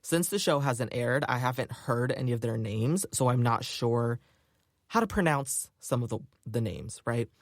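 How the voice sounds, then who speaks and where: A man's voice: mid-range voice, animated, moderate pace, dry recording, clean studio sound. A man hosting a solo podcast, talking in a chatty, upbeat way with lots of filler words. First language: English. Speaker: podcast host. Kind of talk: casual solo podcast chat.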